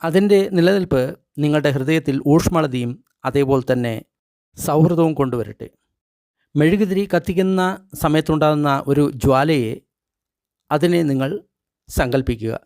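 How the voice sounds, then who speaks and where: A man reads a guided meditation script in measured, instructional short phrases with pauses between them. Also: on the high side, darkish tone, no echo.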